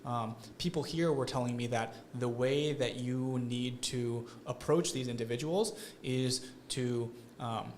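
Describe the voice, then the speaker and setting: An American man talks through his answers in a relaxed interview, his voice sitting in a middle register. He leans on fillers and checks in with "right?" as he goes. A faint hiss of background noise sits under the recording. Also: medium pitch, measured pace, few pauses. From United States. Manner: thoughtful, frequent fillers and "right?" tags. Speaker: guest. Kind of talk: conversational interview.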